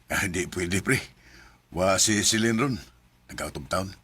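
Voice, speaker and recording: A man's voice: sits low, unhurried, pushed and bright, breathy edge, no echo, full character voice. A man in a radio drama playing a hesitant married man who narrates his own story and stammers through apologies. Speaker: narrator and lead.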